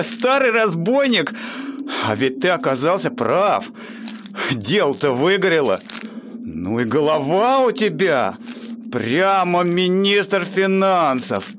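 A man's voice: high voice, a little hiss or hum, full character voice. An actor playing a gleeful outlaw who brags and teases his partner over the loot in a radio play. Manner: excited, teasing, exclamatory. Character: gleeful young outlaw counting loot, boastful.

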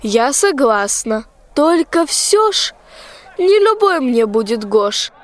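A young woman playing a wilful, lovesick tsar's daughter in a rhymed radio play, demanding and deliberate in her verse. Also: sits high, big pitch swings, full character voice. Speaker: student actor in a school radio play. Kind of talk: rhymed folk-tale radio play acting.

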